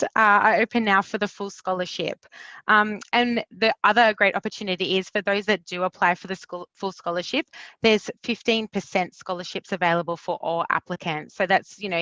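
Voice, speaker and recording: A woman's voice: mid-range voice, fairly quick, fairly bright tone, no room sound, dull audio. A young woman from Australia hosting and introducing a webinar, chatty and full of ums and uhs. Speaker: event host, organisation representative.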